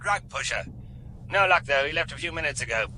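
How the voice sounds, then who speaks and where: A brisk police detective phoning in a report. His voice is high and very sharp, and he reels off facts quickly. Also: expressive, slight background noise.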